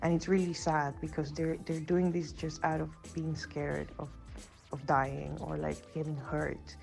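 A woman's voice: low-pitched, flat delivery, a little slow, gravelly, darkish tone, faint music behind. A woman from Peru giving an earnest prepared talk as a podcast guest, often saying "like". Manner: earnest, frequent "like" fillers.

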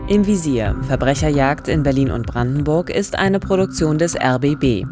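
A woman's voice: low voice, expressive, normal speed, over music. A woman in her thirties hosting a true-crime podcast, asking questions and reacting with interest into a studio microphone. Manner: engaged, asks questions, reacts with surprise. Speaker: podcast host. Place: small radio studio. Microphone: studio condenser mic, headphones.

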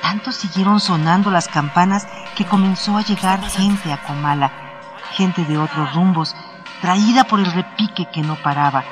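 A man's voice: high voice, moderate pace, lightly acted. A man acting as a villager who recounts a dead town's tragic past in a radio drama. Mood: somber storytelling. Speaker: storyteller in an audio drama. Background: music.

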